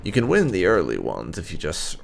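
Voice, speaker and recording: A man's voice: low-pitched, huge pitch swings, brisk, vocal fry. A man giving casual gameplay commentary as a video host, laid-back and dryly joking. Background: game music.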